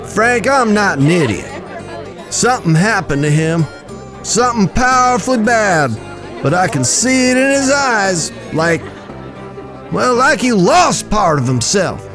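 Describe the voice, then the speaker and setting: An actor in a western audio drama playing a hearty cowboy friend who spins tales in a folksy, emphatic way. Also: male voice, high voice, sing-song, a little slow, a bit bright, some chatter behind, exaggerated voice. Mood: concerned. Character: hearty, storytelling older cowboy friend, slow drawl. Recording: music in the background.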